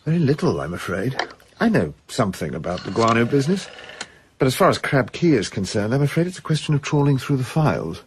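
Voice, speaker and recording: An actor in a radio drama playing a jovial, chatty colonial official with an upper-class English accent. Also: male voice, medium pitch, big pitch swings, quick pace, slight background noise, natural voice. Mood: chatty, informative. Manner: chatty, old-school enthusiasm.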